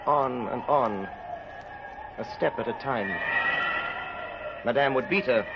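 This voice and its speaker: A man narrating an old radio drama as a grave, suspenseful storyteller, intense and theatrical. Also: medium pitch. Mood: dread. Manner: intense, theatrical, deliberate phrasing. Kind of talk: dramatic scripted radio narration, 1930s-40s. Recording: dull, noisy old radio recording.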